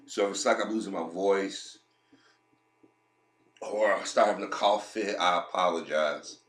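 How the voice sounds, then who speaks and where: A man's voice: low-pitched, measured pace, fairly bright tone, echoey room. A man getting over a head cold, giving emphatic commentary with frequent repeats and restarts. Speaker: commentary vlogger. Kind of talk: opinionated commentary.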